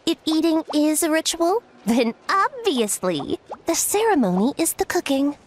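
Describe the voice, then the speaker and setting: A woman's voice: high-pitched, big pitch swings, a little slow, slight background noise. A woman voicing a haughty little talking mushroom in a video game, scornful and condescending. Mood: smug, scornful. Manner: condescending, sighing. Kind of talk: English video game voice acting. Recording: music under the voice.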